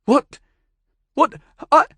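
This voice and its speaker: A man voicing a young male street ganger who yells in shrill panic and defiance. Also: drawn-out words, no room sound, studio quality. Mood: frantic. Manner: shrill yelling.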